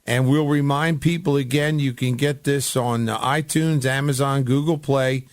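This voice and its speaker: A man's voice: medium pitch, fairly even intonation, measured pace, dry recording, studio quality. A man hosting a radio show, chatting casually and informally with a guest as if with an old pal. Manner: chummy, informal address. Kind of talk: casual radio interview chat.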